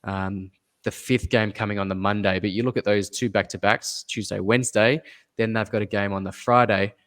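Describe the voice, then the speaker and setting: Low-voiced man analyzing fantasy basketball. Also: fairly even intonation, a bit bright. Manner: measured, repeats advice, thinks aloud with fillers. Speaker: fantasy basketball podcast co-host. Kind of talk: casual podcast analysis.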